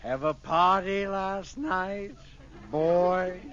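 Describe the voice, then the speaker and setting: An actor playing a blustering, bossy older boss in a 1940s radio comedy, hearty and overbearing. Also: male voice, high voice, steady tone, very slow. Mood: blustery. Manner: blustery, tags sentences with a hearty "boy". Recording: muffled, hissy old radio recording.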